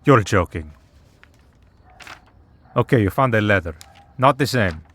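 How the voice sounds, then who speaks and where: Man acting a deep-voiced, wry vampire priest. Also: expressive, unhurried, fairly bright tone. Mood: teasing. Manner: sardonic, bantering, conversational. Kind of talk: ASMR roleplay acting.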